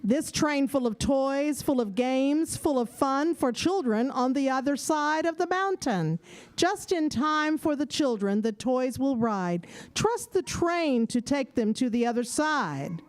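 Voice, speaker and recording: High, slow-paced woman storyteller. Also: animated. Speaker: narrator. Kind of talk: rhyming children's story narration for live audio theater.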